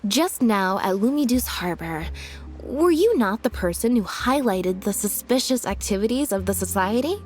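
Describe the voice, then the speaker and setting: A woman playing a calm, deductive young detective in a video game, speaking in measured, articulate and deliberate exposition. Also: medium pitch, close to an everyday voice. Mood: coolly accusing. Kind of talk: scripted video game dialogue. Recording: music behind the voice.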